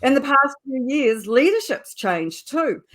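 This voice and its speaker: A middle-aged woman with a New Zealand accent, hosting a live online business show and opening it with a measured, emphatic scripted introduction. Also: sits high, big pitch swings, fairly bright tone. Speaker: host.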